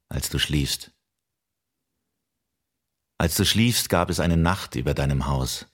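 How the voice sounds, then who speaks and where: Very deep, husky, melancholy man. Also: heavily acted. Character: patient, melancholy man who waits for a lost love. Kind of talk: poetic radio-play monologue.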